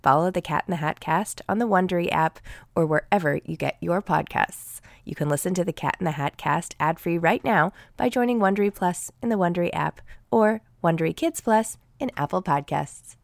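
Low-voiced woman reading a podcast promo. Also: fairly quick, vocal fry, dry recording, clean studio sound. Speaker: podcast advertisement reader. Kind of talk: scripted family podcast promo.

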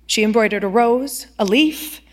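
A female actor narrates a literary short story. Her voice is fairly high, and she reads the prose as a storyteller. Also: normal speed.

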